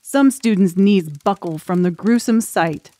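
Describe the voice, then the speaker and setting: A woman's voice: mid-range voice, unhurried, mellow, dry recording. Woman narrating an audiobook, very dry sound. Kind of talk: scripted story narration.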